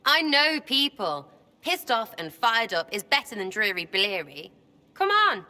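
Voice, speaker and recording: An impish, animated English prankster woman. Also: fairly high pitch, unhurried, long reverb tail, heavily acted. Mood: eager. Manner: brash, slangy, impish. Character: cheeky, irreverent, mischievous young woman rebel prankster. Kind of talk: video game character acting.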